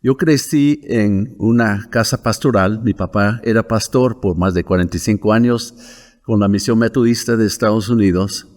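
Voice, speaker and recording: An older man from Wisconsin with an American English accent, a missionary guest who recounts his personal testimony in a measured way, pausing often with fillers. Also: on the low side, a little mellow, big-room sound. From United States (Wisconsin).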